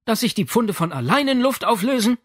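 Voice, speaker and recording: A man's voice: extremely high pitch, sing-song, a little fast, slightly rough, no echo, clean studio sound, pitched above the performer's own voice, full character voice. A man voicing an exasperated, fretful Italian mother in an audiobook, indignant and plaintive. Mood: aggrieved. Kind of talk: audiobook character acting.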